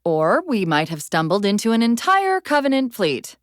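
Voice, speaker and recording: A woman acts out a female AI companion in a military sci-fi game. She is quick-witted, earnest and strained, briefing a soldier crisply. Her voice swings widely in pitch, and the sound is very dry. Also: very animated, dry recording, natural voice. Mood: focused briefing. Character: female military AI companion, urgent, clever, wry, increasingly strained. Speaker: video game voice actor. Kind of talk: video game voice acting.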